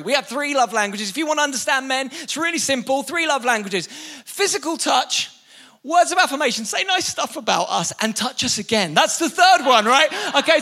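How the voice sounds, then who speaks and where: High bright energetic young preacher man. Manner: energetic, joking, many restarts. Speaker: pastor. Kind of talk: sermon. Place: church hall with live congregation.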